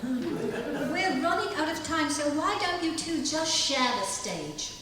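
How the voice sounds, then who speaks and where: A woman playing a brisk contest show hostess in a live old-time radio comedy. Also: sits high, very animated, a bit bright, a little hiss or hum, full character voice. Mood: brisk, presenting. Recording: echoey hall, distant mic.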